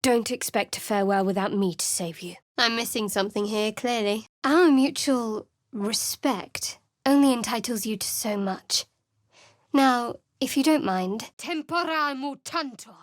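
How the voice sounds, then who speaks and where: A woman playing a guarded, wry, secretive young cleric adventurer in a fantasy video game, with a cool, measured, sardonic delivery. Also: fairly high pitch, dry recording, studio quality, lightly acted. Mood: forceful incantation.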